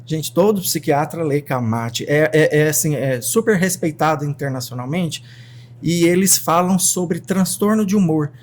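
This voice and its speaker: A man with a Brazilian Portuguese accent hosting a casual podcast as a psychiatrist, animated and talking straight to his listeners. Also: on the high side, moderate pace. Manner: animated, talks straight to the audience.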